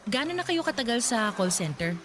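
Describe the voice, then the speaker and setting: A woman's voice: on the high side, big pitch swings, a little fast, background noise. A woman with a Filipino accent playing a friendly, teasing young barista in a radio drama. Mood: friendly, chatty. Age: 20s. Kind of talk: naturalistic Tagalog radio drama acting.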